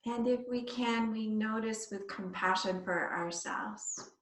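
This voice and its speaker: A woman's voice: fairly high pitch, fairly bright tone. Woman guiding yoga in an echoey room. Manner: instructing in short phrases with long pauses. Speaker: yoga teacher. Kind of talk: guided body scan meditation in a live online yoga class. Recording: echoey room, distant microphone.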